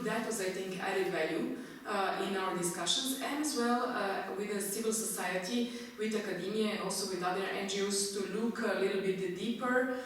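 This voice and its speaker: A woman on a panel, speaking earnestly and haltingly with many fillers. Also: medium pitch, a little hiss or hum. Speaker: panelist, church programme officer. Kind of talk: panel debate answer. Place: large conference hall. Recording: echoey hall, voice far off.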